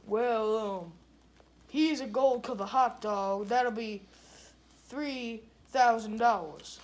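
A woman's voice: fairly high pitch, slow, a little mellow. A woman doing an improvised comedy skit with plush toys on a living room couch, with a drawling, mumbly delivery. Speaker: puppeteer voicing plush toys.

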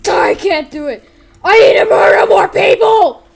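A woman's voice: very high voice, lively intonation, unhurried, vocal fry, shouting, a crowd in the background, voice pushed up, heavily acted. A female performer voicing an overwrought, aggrieved gunman on a rampage in a homemade story. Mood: desperate fury. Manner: shrieking, overwrought.

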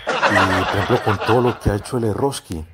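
A Colombian man from the paisa side talks in a fairly low voice. He is a coarse, joking prank caller who swears freely and chats in thick slang. Loud noise and clicks run through the recording. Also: fairly quick. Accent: Colombian, Medellín paisa. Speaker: prank caller, show host. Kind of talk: casual slangy phone prank chat.

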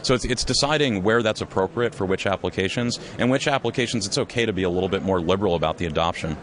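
A man who co-founded a software company, explaining his business in a measured, conversational interview at a trade expo. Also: low-pitched, a little hiss or hum. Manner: measured, explanatory, with frequent restarts.

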